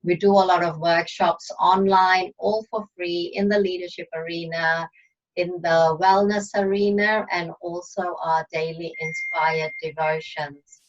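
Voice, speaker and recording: Female podcast host, lower-pitched voice, interview show presenting, dull lo-fi sound. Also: steady tone, measured pace. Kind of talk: interview hosting, introducing a guest. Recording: distant mic, dull lo-fi online audio.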